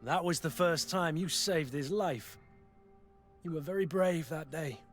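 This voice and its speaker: An actor playing a weary, loyal veteran soldier in a video game, speaking in an earnest, measured way. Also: male voice, a little high, faint music behind. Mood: warm, reflective. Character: weary, loyal veteran mercenary soldier, plain-spoken and resolute. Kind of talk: video game dialogue acting.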